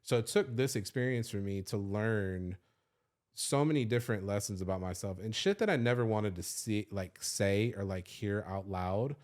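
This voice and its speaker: Man, 30s, lowish voice; confessional podcast host, casual, profane, filler-heavy. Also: moderate pace, a little mellow. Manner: loose and confessional, swears freely, many "like" fillers. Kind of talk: candid personal storytelling.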